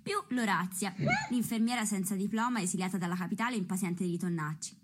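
An actress playing a brisk storyteller who narrates a radio drama in a theatrical way. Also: female voice, mid-range voice, a little hiss or hum, natural voice. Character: brisk female storyteller introducing the townspeople.

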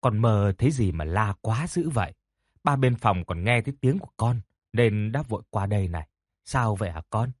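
A man voicing a warm, worried older father with a hoarse voice, gently comforting his daughter in an audio story. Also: medium pitch, very animated, normal speed, pauses often, no room sound, clean studio sound, voice pushed up. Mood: concerned. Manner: gentle, concerned.

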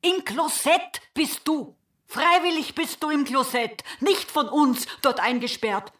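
A patient Viennese daughter in a radio play, coaxing and insistent. She speaks slowly in a high voice. She keeps calmly repeating herself. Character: patient adult daughter, coaxing her mother.

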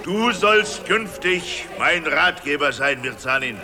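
An actor playing a gullible, remorseful Russian tsar in a children's radio play, speaking in a solemn theatrical manner. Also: male voice, on the high side, animated, a crowd in the background. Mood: solemn, proclaiming. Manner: slow, theatrical, declaiming.

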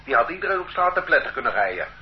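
A man's voice: medium pitch, big pitch swings, rapid-fire, close to an everyday voice. An actor playing a defiant, work-shy man under arrest who questions and protests stubbornly in a radio drama. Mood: stubborn, aggrieved. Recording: muffled, noisy old radio recording.

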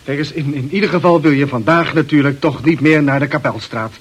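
A man's voice: fairly high pitch, a little fast, breathy edge. An actor playing an exasperated, evasive lodger in a radio play, hesitant and pleading as he tries to keep control. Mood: nervous. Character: exasperated, evasive lodger, nervous about his lady friend. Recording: hissy old radio play recording.

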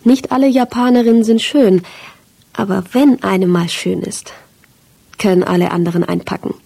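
A woman's voice: mid-range voice, steady tone, normal speed, gravelly, mellow, husky. A woman reading a literary first-person story as a scripted audiobook narration.

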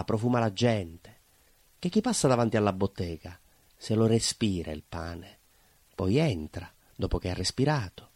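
A dry, close sound carries a stubborn, nostalgic baker. He tells his story as a colloquial stage monologue, leaning on repeated phrases and deliberate pauses. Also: lowish voice, a little mellow, dry recording. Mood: nostalgic, fond. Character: proud, plain-spoken northern-born Calabrian baker, stubborn and nostalgic. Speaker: stage actor.

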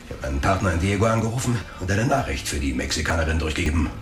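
A man's voice: sits low, lively intonation, very fast, roomy sound, music in the background. A man playing a slick, greedy, chummy private detective who talks in slang in a radio drama. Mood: slangy, conspiratorial. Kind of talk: radio drama dialogue, storytelling monologue.

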